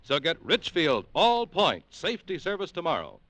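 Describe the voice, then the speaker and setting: Old-time radio announcer guy, bouncy sing-song delivery, crisp formal reads, dry studio sound. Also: on the high side, huge pitch swings, moderate pace, fairly bright tone, no room sound.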